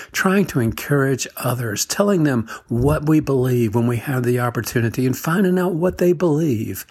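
An older man giving a gentle devotional talk, telling a childhood story and chuckling as he goes, then closing with a prayer. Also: medium pitch, breathy edge. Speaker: devotional speaker.

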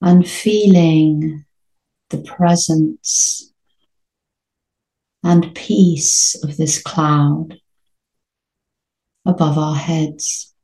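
Very slow, low-voiced woman guiding meditation. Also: steady tone, reverberant, poor audio. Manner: soothing, gentle, unhurried with long pauses. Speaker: meditation guide. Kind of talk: guided sleep meditation.

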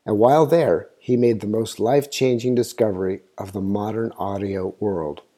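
Dark-voiced man narrating history. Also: lowish voice, steady tone, normal speed. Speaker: host and narrator of an audio history show. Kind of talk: scripted narration, read aloud.

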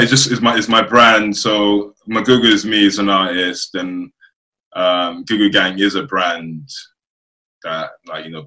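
A man from Nigeria chatting casually as an interview guest, often halting and rephrasing as he goes. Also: sits low, sharp tone, poor audio. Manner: halting, circling back to rephrase. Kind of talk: casual chat. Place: remote video call.

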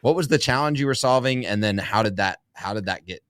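A man's voice: lowish voice, expressive, fast talker, fairly bright tone, dry recording, clean studio sound. A man hosting a casual interview, rephrasing his questions as he works through them. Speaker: podcast host, interviewer.

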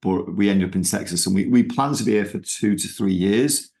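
A middle-aged man with a Manchester accent speaks very low, his voice gravelly with fry. He talks loosely as an interview guest, circling back with fillers and restarts. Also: fairly quick, darkish tone. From England. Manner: rambling, with many fillers and restarts. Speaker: ministry founder, guest. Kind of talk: conversational interview.

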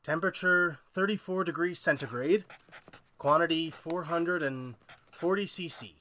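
An actor playing an irascible, egomaniacal chemist in old-style horror radio drama, imperious and melodramatic. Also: male voice, on the high side, moderate pace, pushed and bright, slight background noise. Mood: stern, clipped.

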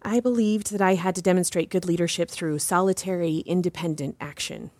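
A woman playing an earnest, principled doctor who answers interview questions in a formal, precise and measured way. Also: on the low side, fairly even intonation, a little mellow, no room sound, lightly acted. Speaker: interview guest. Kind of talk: scripted drama interview.

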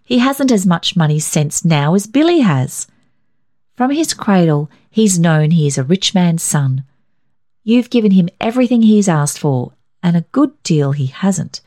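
A woman's voice: lowish voice, very animated, unhurried, mellow. A woman voicing a frank, affectionate young mother in a storybook reading. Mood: earnest.

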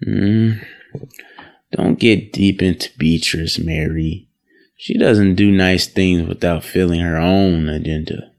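An actor playing a smooth-talking, reassuring husband in an audio drama. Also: male voice, sits low, fairly even intonation, slow, darkish tone, heavily acted. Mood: placating. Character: smooth-talking, placating husband and farm worker.

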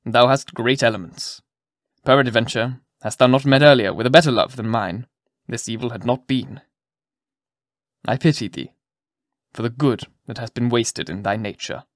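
A man's voice: medium pitch, normal speed, dry recording, studio quality, lightly acted. A man voicing a bitter, gloomy old physician with a vengeful streak, in solemn archaic theatrical storybook speech. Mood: grave pity. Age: older.

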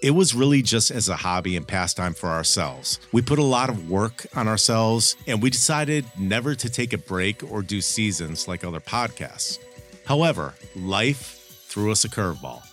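Low-pitched man hosting over music. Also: animated, normal speed, fairly bright tone. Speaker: podcast host. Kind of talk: casual podcast chat. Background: background music.

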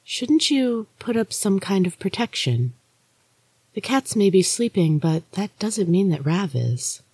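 A narrator voicing a protective, enigmatic ghost sister in an earnest, soft-spoken way for a storybook. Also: mid-range voice, very animated, unhurried, breathy edge, dry recording, pitched above the performer's own voice, full character voice. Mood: cautioning.

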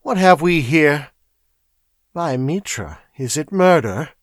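A man's voice: on the high side, animated, no room sound, clean studio sound, pitched above the performer's own voice. A man doing a lisping, foppish young noble. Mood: haughty. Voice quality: affected lisp. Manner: fastidious, disdainful drawl.

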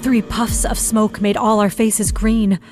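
An actress playing a grave woman eyewitness, narrating horror in deliberate, vivid phrases for a live audio drama. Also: mid-range voice, little pitch movement, darkish tone, soft background music, close to an everyday voice. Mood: horrified.

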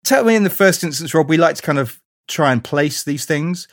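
A man's voice: fairly high pitch, animated, fairly quick, no echo, clean studio sound. A man hosting a podcast, moving between scripted introduction and conversational interview questions. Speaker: podcast host.

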